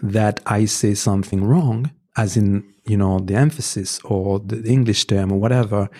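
A man with a French accent, whose first language is French, earnestly giving relationship advice as a guest in a conversational interview. He hesitates often, restarting phrases and filling pauses with "um" as he works through his points. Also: on the low side, steady tone, mellow, breathy edge. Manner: earnest and advising, with frequent fillers and restarts. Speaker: podcast guest.

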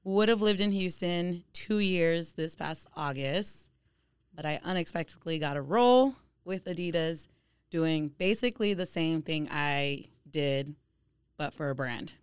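A woman's voice: low-pitched, a little slow, smooth, no room sound, clean studio sound. A young adult American woman chatting casually as a guest on a podcast. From Phoenix, Arizona, United States. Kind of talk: casual chat, conversational interview.

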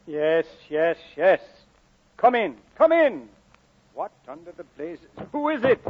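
A man's voice: high-pitched, big pitch swings, slow, a little hiss or hum, exaggerated voice. An actor playing a proud, homely miner and father in an old radio drama, blustering, repetitive and deferential. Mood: blustery, impatient. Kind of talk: 1940s radio drama acting. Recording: muffled old radio broadcast.

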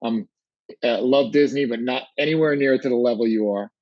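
A man's voice: medium pitch, a little fast, darkish tone, dry recording, highs cut off. A man hosting a podcast, asking questions in an easygoing, casual way with some restarts. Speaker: podcast host.

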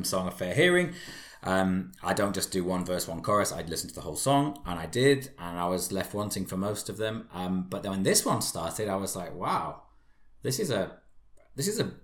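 Male podcast panelist, lowish pitch, conversational reviewer, emphatic and effusive phrasing.

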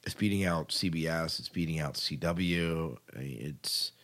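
A man's voice: rumbling low, moderate pace, fairly bright tone. An American man hosting a podcast, reading news items and chatting casually between them. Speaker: podcast host. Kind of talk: podcast news segment, partly read, partly casual chat.

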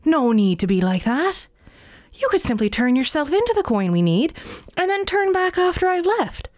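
A woman's voice: mid-range voice, lively intonation, moderate pace, dry recording, studio quality, voice pushed up, natural voice. A woman doing a smug, wheedling trickster man. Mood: sly, smug. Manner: wheedling, goading. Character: sly silver-tongued male trickster, smug.

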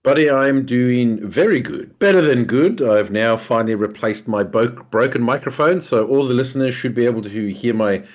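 A man co-hosting a podcast in casual chat, with a deep, booming voice and an easygoing manner. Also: normal speed, few pauses. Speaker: podcast co-host.

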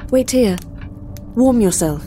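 Eerily gracious mother, dark mellow voice. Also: on the high side, expressive, takes it slowly, faint music behind. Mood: gently commanding. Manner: polite, coaxing, firmly insistent. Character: pale, eerily gracious mother, quietly commanding. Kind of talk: audio drama acting.

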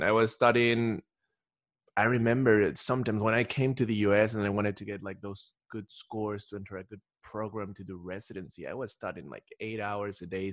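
A man's voice: fairly low pitch, no echo, studio quality. A man from Ecuador talking casually as a podcast guest, telling stories in a rambling way with repeated restarts. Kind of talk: casual conversational storytelling.

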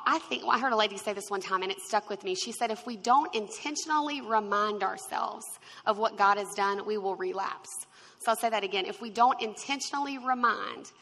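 A woman's voice: medium pitch, creaky, big-room sound. A woman in her twenties telling her story with laughter, acting out the people she quotes. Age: 20s. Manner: expressive, acts out quotes, laughs often. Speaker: guest sharing her testimony. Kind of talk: animated storytelling.

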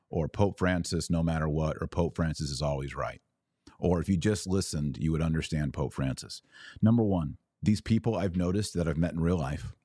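A man's voice: sits low, warm tone, dry recording, clean studio sound. A man hosting a podcast, giving emphatic, argumentative religious commentary in a partly improvised way, leaning on key words.

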